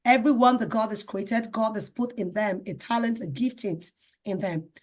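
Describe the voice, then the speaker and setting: A woman hosts an interview show with enthusiasm. Her voice sits a little high, and she speaks effusively, often repeating phrases for emphasis. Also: normal speed. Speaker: show host. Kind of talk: enthusiastic talk show hosting.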